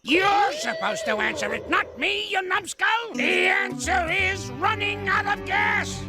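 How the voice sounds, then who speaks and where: An actor playing a cranky old male ape in a cartoon, gravelly and crotchety, bossing others around and boasting. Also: very high-pitched, full character voice. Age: older. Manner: insulting, bossy, boastful. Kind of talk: cartoon voice acting. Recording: cartoon soundtrack with music behind.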